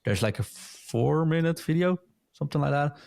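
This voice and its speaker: A man hosting a casual gaming podcast, talking in a relaxed, rambling way with many pauses and ums. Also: a little high, gravelly, no echo, clean studio sound. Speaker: podcast host.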